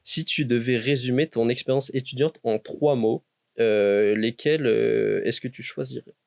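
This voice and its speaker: A man's voice: medium pitch, unhurried, vocal fry, a little mellow, no room sound. A man interviewing a student guest for a university podcast, asking casual questions with frequent hesitations.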